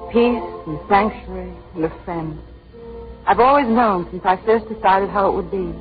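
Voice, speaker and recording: An actress playing a wistful, bravely resigned young woman on the run in 1940s romantic radio drama, with a poetic, measured delivery. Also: medium pitch, lightly acted. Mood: tender, wistful. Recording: muffled 1940s radio recording with music.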